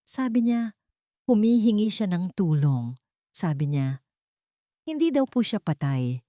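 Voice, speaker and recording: A woman's voice: medium pitch, sing-song, unhurried, warm tone, voice pushed up. Lady narrator doing a sleepy scared little girl, weak and dreamy, audio drama, muffled. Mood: fearful, drowsy. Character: small frightened, drowsy little girl. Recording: muffled, echoey sound.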